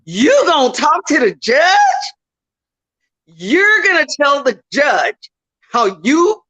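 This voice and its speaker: A woman's voice: sits high, very animated, takes it slowly, pushed and bright, rough recording. A woman with a Southern US accent, calling into a show and chatting emotionally, laughing often. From Southern United States. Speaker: caller. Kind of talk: casual call-in chat.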